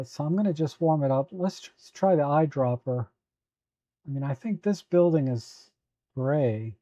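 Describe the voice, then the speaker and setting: A hoarse man hosting a live photo editing tutorial, talking at an easy, halting pace with many fillers while answering viewers. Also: on the high side, warm tone, no echo, highs cut off. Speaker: photo editing livestream host.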